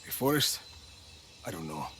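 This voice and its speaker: A man's voice: deep voice, sing-song, normal speed, bright, forceful tone, slight background noise, heavily acted. An actor playing a tough male action hero in a video game cutscene, speaking in dry, clipped lines with a wry edge. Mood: weary.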